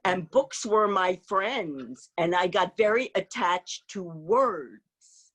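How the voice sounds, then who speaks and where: A woman's voice: lowish voice, lively intonation, slow, a bit bright, slightly rough. A woman from Israel who moved to New York as a child, hosting a casual interview in an effusive way, often repeating phrases for emphasis. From Israel, moved to New York at age four. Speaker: storytime host.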